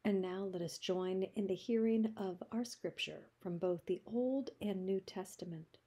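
A woman's voice: medium pitch, a little slow, warm tone, husky. A woman pastor giving announcements and readings in a church service. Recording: long hall reverb.

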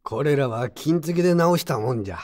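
A man playing a gruff old pottery mender with old-fashioned rustic elderly speech in a radio drama. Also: fairly high pitch, expressive, quick pace, soft background music, natural voice. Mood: gruff, matter-of-fact. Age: older.

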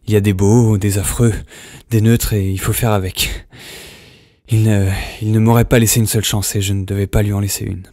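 A haunted survivor played by a man confides in an audio diary in a lowish voice. He broods and halts, circling back over his own words. The sound is close and dry, in audio drama style. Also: little pitch movement, dark tone, no echo. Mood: shaken. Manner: halting, repeating words, brooding.

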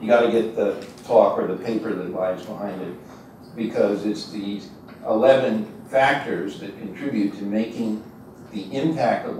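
An older man giving a conversational, emphatic keynote lecture at a university, pacing in a large lecture hall. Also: fairly low pitch. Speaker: guest lecturer. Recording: echoey hall, distant mic, faint noise.

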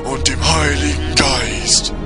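Man acting a slow, solemn medieval judge of souls. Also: mid-range voice, steady tone, close to an everyday voice. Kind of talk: German amateur audio drama acting. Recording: music clearly behind.

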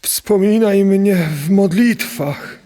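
A man acting a dying medieval duke in an audio drama, solemn and grave, with a strained, halting delivery. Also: high voice, mellow. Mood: solemn, urgent. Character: dying medieval duke, solemn, giving his last will.

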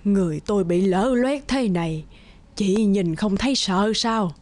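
An actress playing a humble old beggar woman in an animated folk tale, speaking slowly and haltingly. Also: mid-range voice, no echo, natural voice. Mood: sorrowful. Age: older. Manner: slow, pleading, halting. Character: frail, humble old beggar woman, secretly wise spirit.

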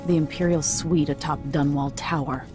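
A woman playing a determined young empress on the run in a video game, speaking to herself in a hushed, resolute way. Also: deep voice, fairly even intonation, normal speed, natural voice. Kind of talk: dramatic game voice acting. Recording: music behind the voice.

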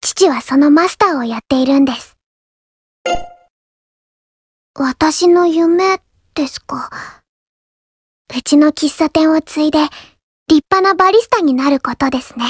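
A woman plays a young coffee-house girl in an anime mobile game, with a high, small voice. She speaks softly and politely, reserved and a little shy but earnest. The sound is dry, with no room around it. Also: normal speed, dry recording, lightly acted. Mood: shy, modest. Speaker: game character voice actor. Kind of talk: scripted anime mobile game character lines.

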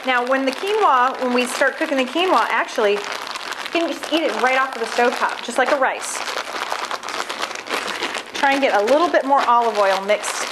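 A woman in her forties hosting a casual cooking demonstration in a large home kitchen, chatting upbeat while she preps food with her hands. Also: high-pitched. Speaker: cooking show host. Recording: audible background noise.

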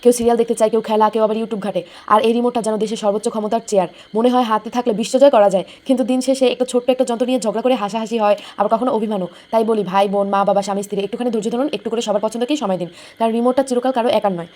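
Woman, scripted narration, very fast pace, fairly high pitch. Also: fairly even intonation, a little mellow. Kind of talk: scripted narration, moral message.

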